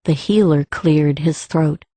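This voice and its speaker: Female audiobook narrator: slow, measured storytelling, soft dark tone, dry close sound. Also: low-pitched, no echo.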